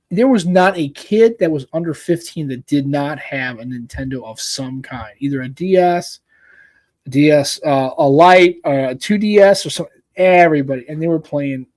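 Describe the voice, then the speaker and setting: A man's voice: on the high side, no echo. Rambling man in his forties, close dry mic. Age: 40s. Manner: rambling, animated, says "dude" often. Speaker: podcast host. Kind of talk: casual chat.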